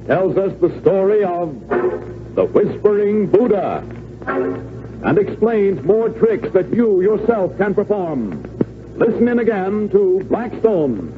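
Slow, high-voiced old-time radio announcer man. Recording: muffled, narrow old radio recording. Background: music.

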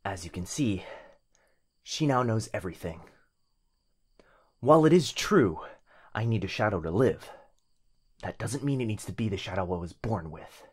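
A man acting as a devoted young boyfriend in an ASMR roleplay, tender, intimate and unhurried yet firm. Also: fairly low pitch, expressive, breathy edge. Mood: calm resolve.

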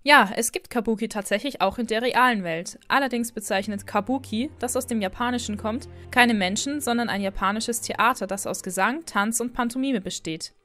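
A woman's voice: on the high side, normal speed, hardly any pauses, sharp tone. A woman host narrating a scripted explainer video in a friendly way. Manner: friendly, apologetic about pronunciation. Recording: voice-over with faint background music.

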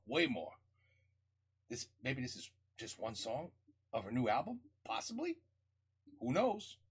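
A middle-aged man with a husky, slightly rough voice chats casually into a headset. His pitch swings up and down a lot as he rambles with enthusiasm and restarts his sentences. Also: fairly bright tone. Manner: enthusiastic, rambling, repeats himself. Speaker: reaction video host. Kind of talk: casual spoken reaction.

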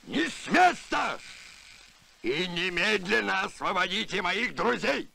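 A man voicing a huge, good-hearted, excitable alien in a cartoon, blustering and lamenting. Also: sits high, slow pace, vocal fry, very noisy, heavily acted. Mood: commanding.

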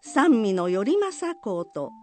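A woman's voice: mid-range voice, very animated, takes it slowly, darkish tone, a little hiss or hum. A woman narrating a folk tale for a radio drama in scripted storytelling style.

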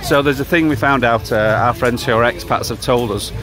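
Man vlogging over loud bar music. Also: medium pitch, fairly quick. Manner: chatty, matter-of-fact, lists prices. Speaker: travel vlogger. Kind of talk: casual walking tour narration. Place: busy seafront promenade at night, outdoors. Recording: loud music and crowd around. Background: loud live music from bars.

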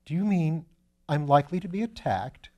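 A man's voice: fairly high pitch, expressive, normal speed, dark tone, pitched above the performer's own voice, natural voice. Man as a dry, sensible detective. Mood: dry, doubtful. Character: sensible, sceptical, dry-humoured male police detective.

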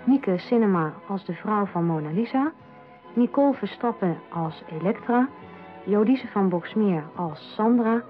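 A woman's voice: medium pitch, expressive, unhurried. A woman announcer reading scripted credits for a radio play. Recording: phone-like narrowband audio, faint music.

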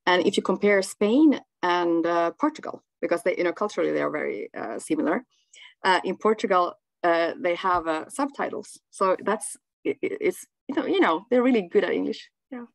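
A woman from Finland with Swedish as her first language and a Finland-Swedish accent, chatting haltingly as an interview guest on a video call. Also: lowish voice, animated, vocal fry, no echo. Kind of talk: casual conversational interview. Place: small room at home, indoors.

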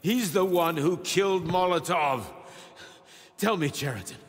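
An actor playing a scientist leader who pleads and explains urgently in a game cutscene. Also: male voice, sits high, a little slow. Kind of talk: video game cutscene acting. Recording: reverberant space with score behind.

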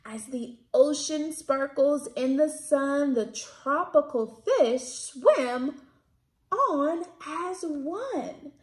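A woman in her thirties hosting children's storytime, reading picture books aloud in an expressive, sing-song way. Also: high voice. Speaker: children's storytime host at a library. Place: indoors, small plain-walled room. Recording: roomy echo from camera mic.

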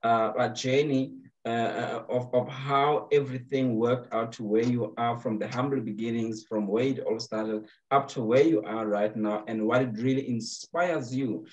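A man's voice: mid-range voice, fairly even intonation, normal speed, few pauses, dull audio. Young male host, video call, upbeat fluent delivery. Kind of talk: online talk show hosting. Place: home room, video call. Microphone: webcam on a video call.

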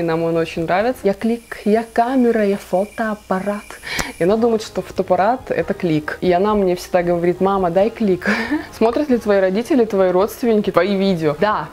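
Young woman vlogging in her bedroom, chatty, answers viewer questions, soft darkish voice. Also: medium pitch. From Kazakhstan. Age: young adult. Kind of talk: casual Q&A vlog. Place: small bedroom indoors.

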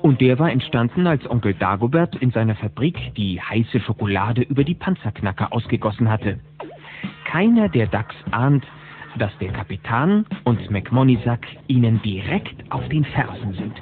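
A man's voice: mid-range voice, lively intonation, moderate pace, faint music behind, close to an everyday voice. A man narrating a children's cartoon audio play as a wry storyteller, on lo-fi cassette audio. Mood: wry, suspenseful.

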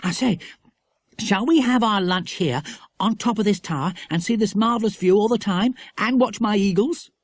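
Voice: mid-range voice, normal speed, no room sound, clean studio sound, pitched above the performer's own voice, close to an everyday voice. A storyteller voicing Jack, a bold, enthusiastic boy who leads the others exploring. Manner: enthusiastic, commanding.

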